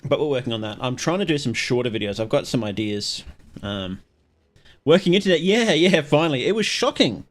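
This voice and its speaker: A man hosting a livestream, chatting casually with viewers in a rambling, halting way and often interrupting himself. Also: medium pitch, sing-song. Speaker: livestream host.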